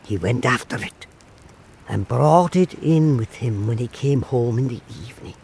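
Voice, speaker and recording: A man playing an old island storyteller, telling a folk tale in a measured, earnest, dramatic way for a radio drama. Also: medium pitch, lively intonation, creaky, darkish tone, slight background noise. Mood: storytelling.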